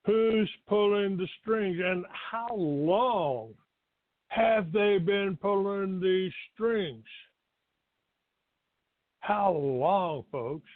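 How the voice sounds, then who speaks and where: Slow older American man, tinny phone line. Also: sits high, no room sound. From Texas, United States. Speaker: talk show host. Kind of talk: rambling monologue to listeners.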